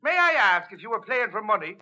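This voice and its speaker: A man's voice: high-pitched, very animated, fast talker, pushed and bright, lo-fi sound, full character voice. An actor playing a blustery, sly Irish policeman with mock-official insistence in a 1940s radio comedy. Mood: slyly insistent. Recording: slightly noisy old radio broadcast.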